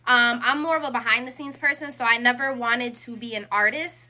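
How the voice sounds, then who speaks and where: A woman in her thirties with a New York accent talks easily as an interview guest. Her voice sits high and very bright. She drops into quick acted-out quotes of herself. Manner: animated, quotes herself in lively asides. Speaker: guest, rapping poet. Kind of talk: casual interview chat.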